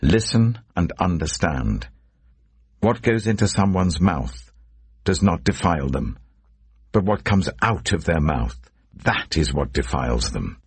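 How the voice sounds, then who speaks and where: Guy doing a solemn commanding holy teacher, super low gravelly voice, deliberate, audio bible style. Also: sing-song, no room sound, heavily acted. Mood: grave teaching. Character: solemn, authoritative male religious teacher in scripture.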